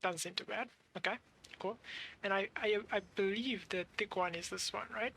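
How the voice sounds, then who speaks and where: A game streamer giving casual, halting commentary, thinking aloud while playing. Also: medium pitch, vocal fry, pushed and bright, a little hiss or hum. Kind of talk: casual let's play commentary.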